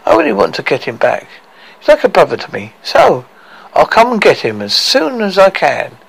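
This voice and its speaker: A man's voice: high-pitched, wildly swooping pitch, moderate pace, gravelly, breathy edge, voice pushed up, heavily acted, low-quality recording. A man voicing a conniving, pompous king in a storybook, smug and dismissive with false friendliness. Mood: falsely earnest.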